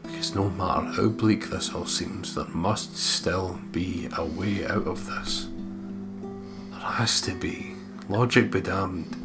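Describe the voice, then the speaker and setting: A man's voice: low-pitched, steady tone, takes it slowly, over music. A man reading a horror visual novel aloud as a dramatic, brooding first-person narrator. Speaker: let's player reading the game aloud.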